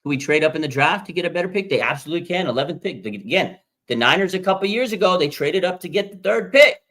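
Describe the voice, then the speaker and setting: American guy around forty, live-stream host, fairly high voice, excitable and jumpy in pitch. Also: fast. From Las Vegas, Nevada, USA. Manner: excitable, with sudden pitch jumps. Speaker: livestream host. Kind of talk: casual live chat, reading fan comments.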